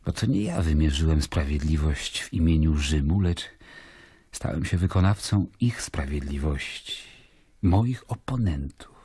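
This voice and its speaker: An actor playing a weary, troubled Roman governor, narrating in a measured, deliberate way in a radio play. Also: male voice, a bass voice, dark tone, slightly rough, no room sound, heavily acted. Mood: troubled, reflective. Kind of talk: dramatic first-person narration in a radio play.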